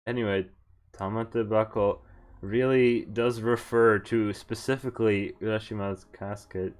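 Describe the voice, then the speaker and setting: Low-voiced man streaming a game casually. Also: fairly even intonation, measured pace. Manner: informal, sweary, thinking aloud. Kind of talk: casual playthrough commentary, reading game dialogue aloud.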